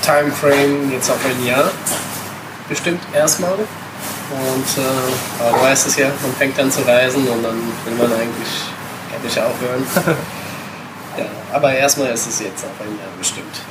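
A man in his thirties, born in Italy, answering questions as a guest on a casual travel podcast, with many pauses and filler sounds. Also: mid-range voice. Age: 30s. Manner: hesitant, many filler sounds, mixes English words. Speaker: interview guest, backpacker. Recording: loud background noise.

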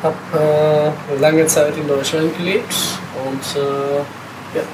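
A man's voice: a little high, flat delivery, slow pace. Italian-born man in his thirties, hesitant. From Italy. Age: 30s. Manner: hesitant, many filler sounds, mixes English words. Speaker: interview guest, backpacker. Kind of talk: casual podcast interview. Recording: loud background noise.

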